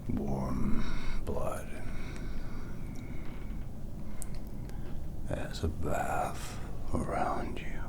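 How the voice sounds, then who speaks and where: A man acts out a vampire doctor in a hushed ASMR roleplay. The voice is low and mostly whispered, unfolding extremely slowly. It is solemn and ceremonial, with a possessive, coldly tender reverence. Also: heavily acted. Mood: soothing, hypnotic. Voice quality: breathy whisper.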